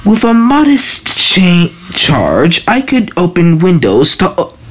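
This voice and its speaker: A woman's voice: lowish voice, wildly swooping pitch, takes it slowly, slightly rough, heavily acted. A woman voicing a devoted, obsessive lab assistant to a villain, deferential and earnest, in an amateur comic dub.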